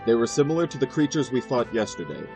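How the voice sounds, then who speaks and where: Fantasy drama casting: steadfast male knight, actor-voiced, orderly military manner, clear music bed underneath. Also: mid-range voice, very animated, quick pace. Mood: urgent, dutiful. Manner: formal, orderly reporting. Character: dutiful, formal male knight lieutenant. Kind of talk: fan audio drama acting.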